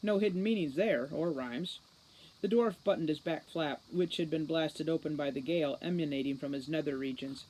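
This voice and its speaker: A woman's voice: low voice, moderate pace, darkish tone, low-quality recording. Woman narrating a children's fantasy audiobook. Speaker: audiobook reader. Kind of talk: scripted storybook narration.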